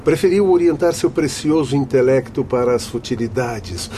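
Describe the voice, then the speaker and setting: A male actor plays a stern doctor of the spirit world in a radio play. His voice is mellow and mid-pitched, and he admonishes with formal, didactic emphasis over faint background noise. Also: expressive, normal speed, natural voice. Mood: reproving. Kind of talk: radio drama acting.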